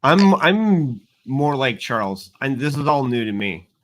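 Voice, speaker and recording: A man chatting on a livestream panel in a casual way, with halting phrasing as he works out his wording. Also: mid-range voice, sing-song, poor audio.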